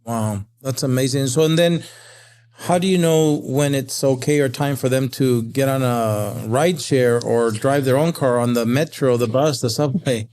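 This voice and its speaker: A man's voice: medium pitch, unhurried. A man from Peru hosting a podcast, asking questions with frequent fillers and reactions. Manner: warm interviewer, many "you know" and "uh" fillers. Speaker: podcast host. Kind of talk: interviewing a guest.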